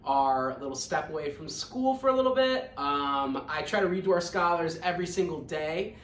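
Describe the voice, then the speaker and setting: A woman reading a children's story aloud like a teacher, in an expressive, emphatic storytelling manner. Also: low-pitched, normal speed, slight background noise. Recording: roomy echo, distant microphone.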